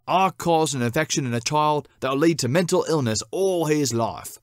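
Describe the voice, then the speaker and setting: A man's voice: medium pitch, no room sound, studio quality, pitched above the performer's own voice. A man voicing wicked cats that gloat and boast of evil deeds in exaggerated storybook character voices.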